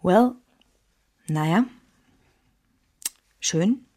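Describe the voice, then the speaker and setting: A woman's voice: on the low side, expressive, very slow, warm tone, full character voice. An actress playing a wry, reflective woman recalling a love triangle, narrating in the first person in a German radio drama. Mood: tender.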